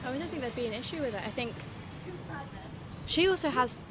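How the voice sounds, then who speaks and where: A woman's voice: high-pitched, expressive, a little fast. A woman answering a street interviewer, reasoning earnestly with many fillers. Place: city street outdoors. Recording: street interview with clear traffic noise. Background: traffic.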